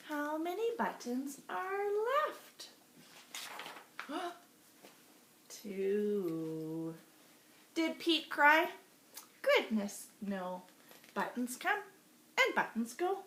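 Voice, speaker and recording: A woman teacher reading a storybook aloud to young children in an expressive, sing-song way, asking them questions as she goes. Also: sits high. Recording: roomy echo with faint background noise.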